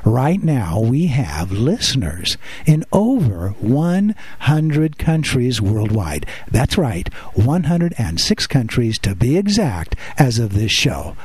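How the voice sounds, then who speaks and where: Male host, slightly husky, very animated pitch, dry close-mic sound, self-mocking radio chatter. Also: dry recording. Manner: chatty, self-deprecating, with filler pauses. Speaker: podcast host. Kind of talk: casual radio patter. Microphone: close to the microphone.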